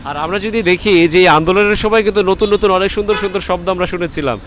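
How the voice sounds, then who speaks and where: A male reporter speaks in a high register with a Bangladeshi accent. He presents from the scene in a measured, deliberate manner, pausing often. Clear street and traffic noise surrounds his voice. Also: fairly bright tone. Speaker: reporter interviewing students. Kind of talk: on-location reporting and interviewing. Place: outdoors on a university campus. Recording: noisy outdoor street with traffic.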